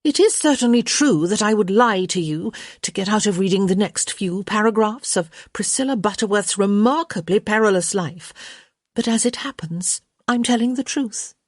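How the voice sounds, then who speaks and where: A woman's voice: medium pitch, normal speed, no echo, studio quality, pitched above the performer's own voice, natural voice. A woman voicing a witty young unmarried lady, arch, teasing and sarcastic, in a story reading. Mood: defensive.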